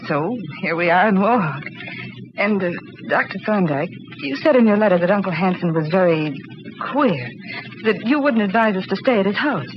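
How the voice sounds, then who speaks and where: A woman's voice: medium pitch, expressive, normal speed, close to an everyday voice. An actress playing an earnest, anxious young married woman in 1940s radio drama style. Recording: muffled, noisy old radio recording.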